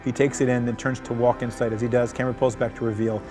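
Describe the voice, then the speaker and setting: A man's voice: mid-range voice, flat delivery, fast talker. A man narrating script directions aloud at a table read. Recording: loud music under the voice. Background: music.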